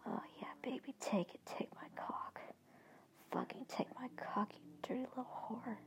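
A woman putting on a crude, domineering male lover in a husky whisper, taunting and commanding. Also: on the high side, moderate pace, lightly acted.

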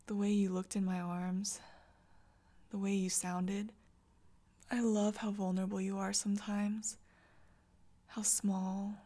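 A woman voicing an intense, tender young girlfriend in a whispered audio roleplay. She speaks in a soft, close whisper and stammers when she gets flustered. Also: mid-range voice, husky.

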